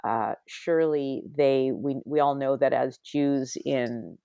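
Middle-aged woman author, reflective, halting interview answers, dry close mic. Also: very deep voice, gravelly, warm tone, clean tone, no room sound. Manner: reflective, many restarts and hedged pauses.

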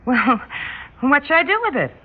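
A woman's voice: sits high, very animated, normal speed, husky, exaggerated voice. A woman acting a chatty, dithering office receptionist in an old radio drama. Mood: flustered. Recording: tinny narrow old radio recording.

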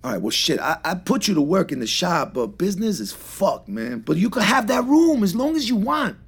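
A generous, rough-edged shopkeeper in a fiction podcast, a man. His husky, gravelly voice swings up and down freely. He speaks in easy, reassuring slang. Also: sits high, sing-song, normal speed, exaggerated voice. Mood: casual, reassuring. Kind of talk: naturalistic audio drama dialogue.

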